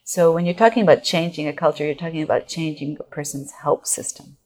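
Low-voiced woman explaining at length. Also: fast, darkish tone. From Japan. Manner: explanatory, thinking aloud with restarts and "you know". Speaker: guest expert on organizational culture. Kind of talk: conversational interview answers.

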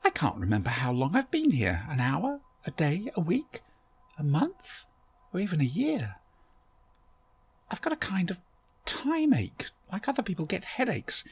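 A man's voice: on the high side, wildly swooping pitch, measured pace, pauses often, slightly rough, pitched above the performer's own voice, heavily acted. A man voicing a polite, flustered young woman.

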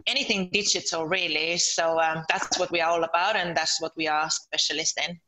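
Bright-voiced woman, a little low. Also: steady tone, brisk, poor audio. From Finland. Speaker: podcast guest, entrepreneur. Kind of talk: conversational interview.

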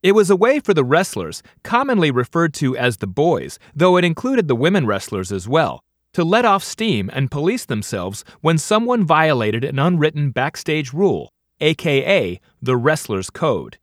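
Middle-aged man, audiobook memoir narration, wry comic timing, very expressive intonation, dry studio-like sound. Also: a little high, normal speed, no room sound. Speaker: author reading own audiobook. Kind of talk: scripted audiobook narration, first-person memoir.

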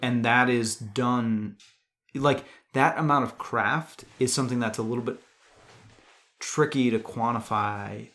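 A man with a mid-pitched voice speaks as a podcast co-host in a casual film discussion. He reasons things out analytically and drops swear words as he goes. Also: a little slow, fairly bright tone.

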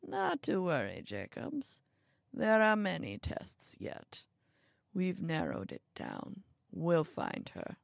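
Woman doing grumbly old villain guy for audiobook, slow, sinister, sneering at everyone, dry sound. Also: lowish voice, wildly swooping pitch, vocal fry, dry recording, full character voice. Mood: scheming. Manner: slow, menacing, grumbling, contemptuous. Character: sinister irascible old male villain in wheelchair.

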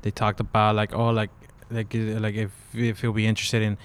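A man co-hosting a casual podcast, talking in halting stretches full of fillers and restarts. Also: on the low side, monotone. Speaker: co-host. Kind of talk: casual chat.

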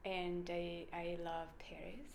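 A woman's voice: on the low side, flat delivery, slow pace, gravelly, a little mellow, roomy sound, a little hiss or hum. A woman with an Italian accent, a researcher explaining her work in an emphatic, conversational interview. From Italy. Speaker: brain researcher guest.

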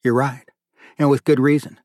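Cold curt guy at the door, as a narrator plays him, even voice, dry. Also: mid-range voice, normal speed, dry recording, lightly acted. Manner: curt, quiet, firm. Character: stoic, curt middle-aged man guarding his home.

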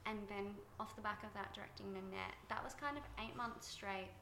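A soft-spoken woman being interviewed about her directing work, thoughtful and pausing with fillers. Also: medium pitch, echoey room, a little hiss or hum. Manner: thoughtful, many fillers and restarts. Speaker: guest, TV director. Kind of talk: reflective interview talk.

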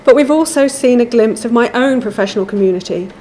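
A woman giving a measured, formal academic lecture from a prepared text. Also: fairly high pitch, mellow, slight background noise. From England.

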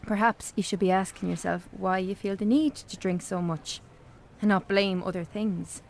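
Woman acting a frank, gravelly-edged Irish woman. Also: mid-range voice, moderate pace, natural voice. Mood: earnest concern. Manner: blunt, earnest, probing. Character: frank, concerned small-town woman confronting an old friend. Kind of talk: naturalistic radio drama acting.

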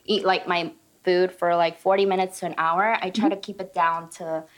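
A young woman with a high-pitched voice, chatting casually and giggling as a guest, squealing high when excited. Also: moderate pace. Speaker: guest eating-video creator. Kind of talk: casual chat while eating on stream.

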